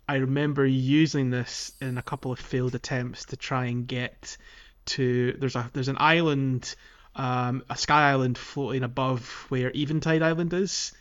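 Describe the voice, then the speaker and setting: A man's voice: mid-range voice, bright, forceful tone. A man co-hosting a podcast, chatting casually about a video game. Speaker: podcast host. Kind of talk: casual gaming podcast chat. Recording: dull lo-fi audio with music behind. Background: music.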